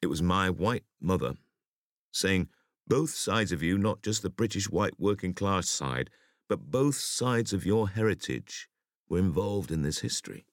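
Very deep-voiced man, expressive audiobook read. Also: unhurried, dry recording, clean studio sound. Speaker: audiobook reader. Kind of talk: measured audiobook reading of an interview essay.

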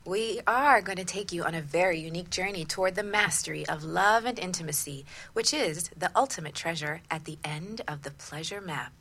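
A woman's voice: on the low side, a little fast, few pauses, fairly bright tone, slightly rough. A woman co-hosting a talk radio show as a relationship coach, in a partly scripted presenting style.

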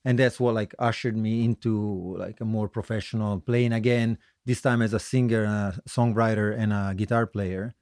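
A middle-aged man from Italy with an Italian accent, telling his story casually as an interview guest, halting and self-correcting with a joking streak. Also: lowish voice, fairly even intonation, a little mellow, no echo, studio quality. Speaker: guest musician, singer-songwriter.

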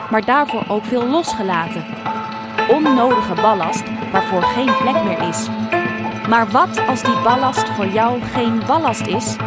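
A woman announcer reading a scripted introduction to an audio drama series. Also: mid-range voice.

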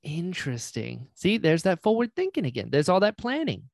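A man's voice: a little high, huge pitch swings, normal speed, creaky, dry recording. Easygoing male podcast host, dry sound. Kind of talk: casual conversational interviewing.

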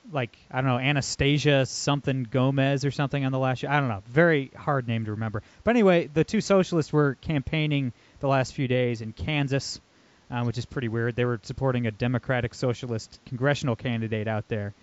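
A man's voice: mid-range voice, no room sound, muffled recording. A man hosting a podcast in a casual, upbeat way, with lots of fillers. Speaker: podcast host.

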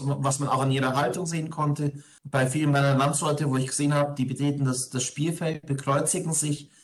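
A man from Ingolstadt in Germany, a parish priest, answering interview questions in a reflective, conversational way with frequent hesitations. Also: mid-range voice. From grew up in Ingolstadt, Germany.

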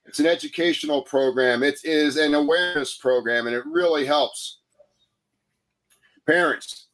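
A man hosting an interview, who also coaches and leads a guided breathing exercise, speaking in a calm, measured, soothing way. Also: fairly high pitch, low-quality recording. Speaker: host, coach.

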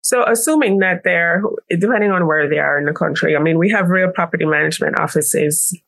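A woman's voice: lowish voice, fairly quick, vocal fry, a bit bright, dry recording. A woman from Jamaica with a Jamaican accent, explaining things conversationally as a business-owner interview guest.

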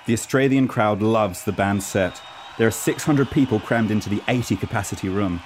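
A man's voice: lowish voice, moderate pace, slight background noise. A man reading the scripted narration of an amateur radio play.

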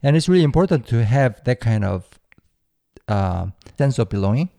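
A man's voice: medium pitch, very animated, moderate pace, gravelly, mellow, no room sound. A man who is a native Korean speaker, hosting a podcast and guiding a casual interview. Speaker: podcast host.

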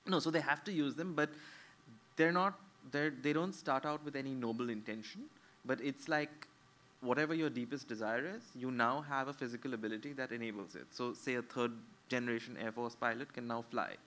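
A man's voice: mid-range voice, a little fast, fairly bright tone. A man, a novelist on a live panel, explaining fluently with many fillers. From India.

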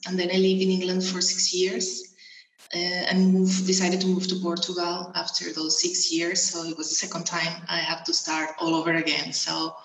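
A woman's voice: on the low side, monotone, moderate pace. Hesitant woman guest in an echoey room. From Venezuela. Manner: hesitant, many ums and restarts. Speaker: guest, coach and mentor. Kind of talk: interview answers.

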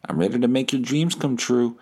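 A man's voice: medium pitch, brisk, darkish tone, husky, pitched above the performer's own voice, natural voice. A man voicing a doting, possessive woman of forty-nine, a coaxing online sugar mommy, as he reads out her messages in a comedy podcast.

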